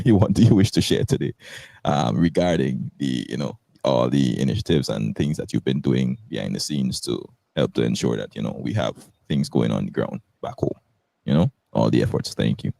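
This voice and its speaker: A man's voice: extremely low pitch, lively intonation, a little fast, gravelly, mellow, dry recording. A man in his twenties hosting a casual talk show, rambling and repeating words. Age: 20s. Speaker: host.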